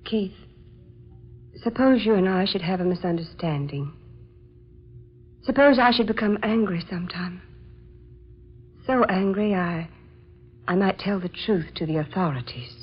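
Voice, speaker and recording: An actress playing an uneasy woman, the killer's lover, speaking softly with a veiled threat in an old-time radio drama. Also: medium pitch, lively intonation, a little slow, long pauses, husky, soft background music. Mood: wary. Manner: soft, wary and quietly threatening. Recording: dull lo-fi old radio recording.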